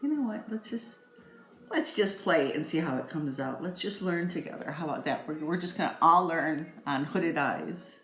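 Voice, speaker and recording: A woman's voice: deep voice, animated, moderate pace. A middle-aged woman giving a casual, chatty makeup tutorial, playful and rambling, speaking straight to her viewers. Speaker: makeup vlogger. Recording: echoey room with faint music.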